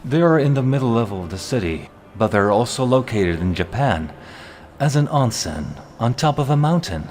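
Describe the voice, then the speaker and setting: A man's voice: medium pitch, animated, normal speed, a little mellow, lightly acted. A man playing a refined, haughty vampire henchman in a fantasy audio drama, with a formal, wry, drawling delivery. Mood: informative, wry.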